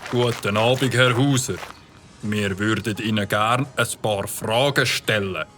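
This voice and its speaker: A man's voice: fairly low pitch, fairly even intonation, unhurried, noisy background, natural voice. A man with a Swiss German accent voicing a stern, officious village policeman in a children's audio drama. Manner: officious, commanding.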